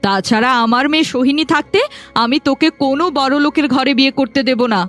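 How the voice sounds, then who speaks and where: A woman's voice: high-pitched, fast, soft background music, close to an everyday voice. A woman voicing a cruel, domineering middle-aged stepmother in a fairy-tale cartoon, scornful and commanding. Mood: angry, scolding. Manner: scolding, commanding.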